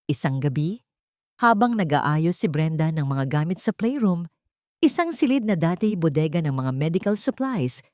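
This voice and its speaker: Female audiobook narrator, steady dramatic delivery, low register, dry. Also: normal speed, no room sound. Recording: dry close recording.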